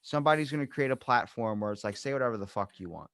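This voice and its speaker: Male podcast host, medium pitch, very dry recording, casual, blunt and tangential. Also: expressive, fast talker, a bit bright, dry recording.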